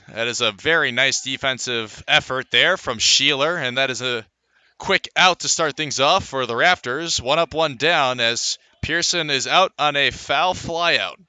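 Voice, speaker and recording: A male radio baseball announcer with a very bright, forceful voice pitched a little high. He calls the game live in play-by-play style, moving through stats in a measured way, and the sound is dry and close. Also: smooth, dry recording. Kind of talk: live sports play-by-play commentary.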